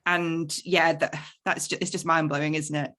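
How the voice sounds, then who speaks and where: A woman's voice: low voice, flat delivery, normal speed, fairly bright tone. A woman hosting a careers webinar in a chatty, effusive and encouraging way. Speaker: webinar host.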